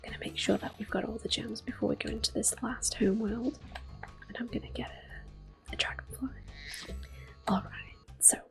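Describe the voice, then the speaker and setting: A woman hosting ASMR gameplay, talking softly and gently with quiet laughs. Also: a little high, steady tone, moderate pace, vocal fry, long pauses, faint music behind. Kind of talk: whispered ASMR gameplay commentary.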